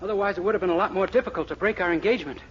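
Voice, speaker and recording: A man's voice: high voice, rapid-fire, close to an everyday voice. A male actor as a naive young man, jealous and easily wounded, puffing himself up with mock-formal indignation. The 1940s radio comedy recording is dull, with faint noise behind it. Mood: wounded, haughty.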